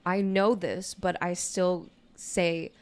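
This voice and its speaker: A young adult woman with a naturally low voice chats casually on a podcast, speaking in a candid, informal way peppered with "you know". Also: flat delivery, slow pace, clear, resonant tone. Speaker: podcast co-host. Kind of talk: casual conversational podcast chat.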